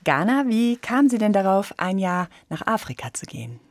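A woman radio host interviewing guests and moderating the program with polite, measured questions. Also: medium pitch, big pitch swings.

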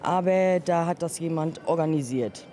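A woman's voice: low-pitched, moderate pace, mellow, slight background noise. A woman from northern Germany with a northern German accent, a pilgrim guest giving short, plain answers in a casual outdoor radio interview. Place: outdoors on a city square.

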